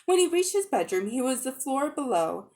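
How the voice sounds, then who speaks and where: A woman narrating a children's novel as a dramatic, expressive audiobook reading. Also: high-pitched, moderate pace. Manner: expressive, storytelling delivery. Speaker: audiobook reader.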